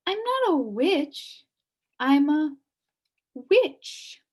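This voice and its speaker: A woman voicing a pleasant old lady witch in a storybook, small-voiced, gentle and casual. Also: high voice, big pitch swings, very slow, voice pushed up, exaggerated voice. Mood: kindly, serene.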